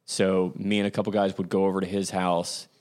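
A man's voice: deep voice, little pitch movement, a little fast, darkish tone. A man in his twenties hosting a show, rambling through personal stories with many fillers and self-corrections. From Ohio, USA. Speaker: podcast host. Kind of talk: casual storytelling monologue.

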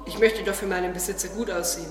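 A woman's voice: on the low side, fairly even intonation, rapid-fire, lightly acted. A woman playing a proud, curious talking garden tree in an audio drama. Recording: echoey, long reverb, distant, under music. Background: music.

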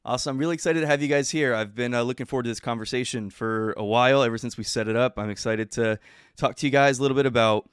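A man's voice: medium pitch, fast talker, clean tone, dry recording, studio quality. A man hosting an interview over a video call, upbeat and enthusiastic. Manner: enthusiastic, warm thanks, frequent fillers. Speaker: podcast host.

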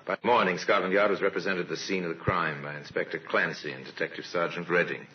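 A man narrating an old-time radio crime mystery as a grave storyteller, measured and dramatic, on a vintage recording. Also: low-pitched, steady tone, dull audio, loud music behind the voice, natural voice.

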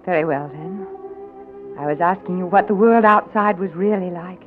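An actress in a 1940s radio drama playing a gracious young princess, refined and teasing in manner. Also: female voice, fairly low pitch, steady tone, moderate pace, close to an everyday voice. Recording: tinny radio broadcast with music underneath.

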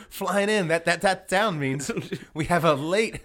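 A man's voice: high-pitched, slow, a bit bright. A man hosting a casual sports podcast, talking in a bantering, teasing way. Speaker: podcast host.

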